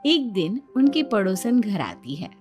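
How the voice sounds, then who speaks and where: Slow female storyteller over soft music. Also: medium pitch, very animated. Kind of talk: scripted storytelling narration.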